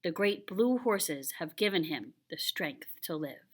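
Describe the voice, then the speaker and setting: A woman's voice: lowish voice, animated, a little slow. A woman voicing a patient, solemn old grandfather who tells a tale in a grave, tender way, reading a children's picture book. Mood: gentle, solemn.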